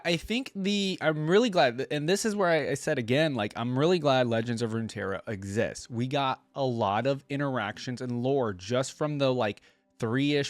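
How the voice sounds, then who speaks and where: A man's voice: medium pitch, no room sound. A man hosting a reaction video, talking casually in a chatty, rambling and informal way. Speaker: host of a game lore reaction channel. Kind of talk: casual reaction commentary to camera.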